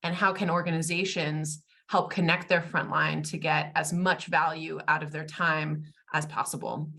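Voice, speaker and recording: An American woman, a guest expert in a webinar interview, talking fluently in a polished and warm way. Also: deep voice, little pitch movement, moderate pace, creaky. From United States. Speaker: guest, tech company expert.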